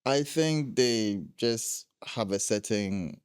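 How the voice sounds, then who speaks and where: A man's voice: lowish voice, expressive, slow pace, clear, resonant tone, no echo, clean studio sound. A young man from Ghana, a guest telling his travel stories in a casual interview, laughing often.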